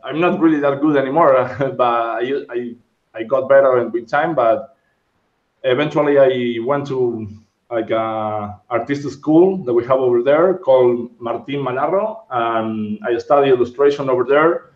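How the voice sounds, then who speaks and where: A man's voice: medium pitch, moderate pace. A man in his thirties from Argentina, Spanish his first language, chats loosely as a guest and hunts for English words. His voice comes in over a remote call, muffled and roomy. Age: 30s. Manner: rambling, searching for English words. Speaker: guest sculptor. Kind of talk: casual interview chat. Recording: dull, roomy sound.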